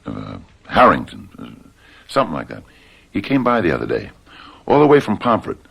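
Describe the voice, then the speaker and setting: An actor playing a weary, downtrodden farmhand husband in a radio drama, anxious and quick-tempered, halting and repeating himself. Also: male voice, extremely low pitch, wildly swooping pitch, creaky, a bit bright, slightly rough, full character voice.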